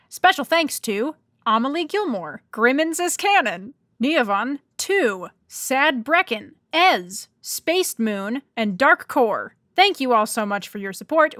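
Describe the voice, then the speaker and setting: A woman's voice: sits high, fairly bright tone, no room sound, studio quality. A woman reading a scripted podcast announcement in a tongue-in-cheek way, as its writer and director. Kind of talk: scripted announcement with playful jokes.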